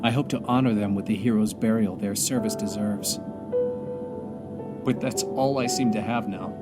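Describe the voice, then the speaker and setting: A man's voice: lowish voice, normal speed, close to an everyday voice. Weary stranded astronaut, played by a man, music behind. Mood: anxious, resolute. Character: stranded American male astronaut commander, weary, grieving, clinging to hope. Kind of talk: audio drama monologue.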